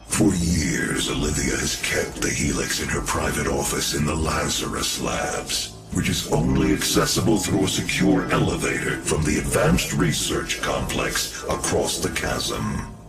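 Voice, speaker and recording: A man playing a calm, calculating research director in a video game, gravelly and measured, giving instructions with grave authority. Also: heavily acted. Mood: calm, instructing. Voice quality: deep, gravelly. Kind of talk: video game cutscene acting. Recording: echoing, loud music behind.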